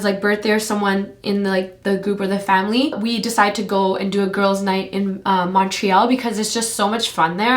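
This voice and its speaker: A woman in her twenties from Canada, chatting casually and rambling with lots of fillers while doing her makeup for a vlog. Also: medium pitch. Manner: rambling, filler-heavy with frequent "like". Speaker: beauty vlogger. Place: home room.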